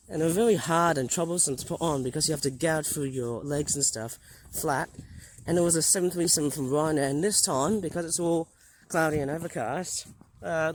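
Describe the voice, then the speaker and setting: A man with a croaky, hoarse voice from the flu, rambling and hesitant as he gives a casual running commentary while plane spotting outdoors by an airport runway. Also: a little high, darkish tone, a little hiss or hum. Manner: rambling, hesitant, filler-heavy.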